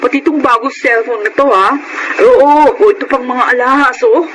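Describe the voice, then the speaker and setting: An actress as a brazen high-pitched Filipina mistress. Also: female voice, normal speed, background noise, natural voice. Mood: delighted, greedy. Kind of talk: Filipino radio drama acting.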